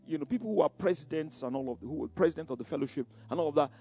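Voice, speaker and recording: A man's voice: a little high, lively intonation, rapid-fire, warm tone, breathy edge. An older man with a Nigerian Yoruba accent, preaching and recounting his past, who often repeats words and says "you know". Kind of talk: sermon-like personal testimony.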